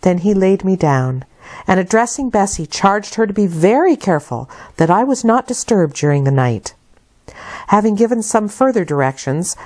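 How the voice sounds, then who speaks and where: A woman reading literary narration aloud as an audiobook reader, with deliberate, dramatic phrasing. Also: lowish voice, a little mellow. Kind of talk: expressive scripted literary narration.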